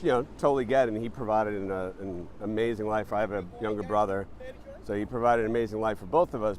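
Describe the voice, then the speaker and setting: Man talking about his company, with faint street noise. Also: fairly low pitch. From born in Panama, raised in New Jersey. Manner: relaxed, thoughtful, frequent ums and uhs. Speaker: startup founder, guest. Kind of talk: casual interview answers.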